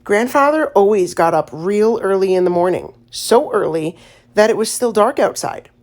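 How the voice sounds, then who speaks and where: A woman reading a children's story aloud as an audiobook narrator, in an expressive storytelling manner. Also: mid-range voice, moderate pace.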